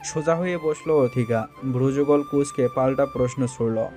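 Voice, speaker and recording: Mellow man narrating a romantic story. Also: mid-range voice, moderate pace, soft background music.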